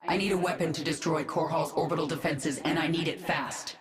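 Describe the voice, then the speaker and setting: An actress playing a commanding, ruthless warlord of an alien swarm in a video game, imperious and determined. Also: female voice, low-pitched, steady tone, a little fast, crowd noise, close to an everyday voice. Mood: cold, determined. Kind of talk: video game character dialogue. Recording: echoing, noisy game audio.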